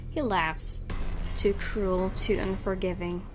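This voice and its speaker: A woman's voice: mid-range voice, steady tone, slow pace, background noise. A woman hosting a gaming video, reading a story dramatically aloud with casual commentary. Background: music behind the voice.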